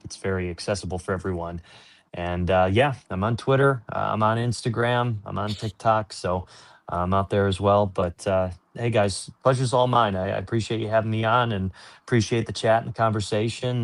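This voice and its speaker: A man working as a sports announcer, answering interview questions in an earnest, informal, explanatory way. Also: fairly low pitch.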